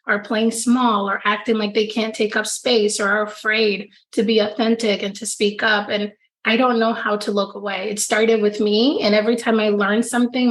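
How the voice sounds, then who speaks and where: A woman's voice: medium pitch, a bit bright. Woman, from the Dominican Republic, podcast guest, life coach, friendly familiar chat. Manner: friendly, familiar, says "girl" often. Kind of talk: casual interview chat.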